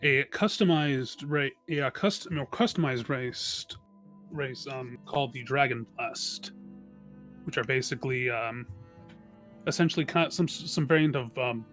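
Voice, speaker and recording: Man, medium pitch, slow halting delivery, tabletop player, faint music behind. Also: fairly bright tone. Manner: halting, searching for words. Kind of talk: character introduction in an online tabletop game.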